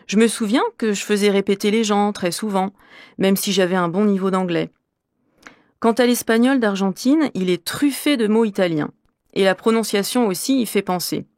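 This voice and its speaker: A woman's voice: medium pitch, moderate pace, darkish tone, dry recording, clean studio sound, natural voice. A woman playing a middle-aged French teacher from Normandy, answering interview questions in an articulate, explanatory way for a language course. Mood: composed. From Normandy, France. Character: middle-aged female French teacher, curious and well-travelled.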